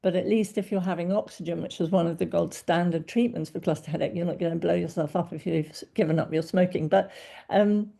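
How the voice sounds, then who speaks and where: Woman doctor host, low-ish mellow voice with some fry, attentive interviewer. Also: a little fast. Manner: warm, attentive questioning with frequent backchannels. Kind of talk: podcast interview.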